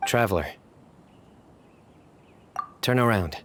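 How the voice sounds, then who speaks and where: Deep-voiced, serious young guardian. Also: male voice, lively intonation, fairly bright tone, a little hiss or hum. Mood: reflective. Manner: measured, composed, dry. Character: serious, proud young male guardian, loyal to close friends. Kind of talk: anime game voice acting.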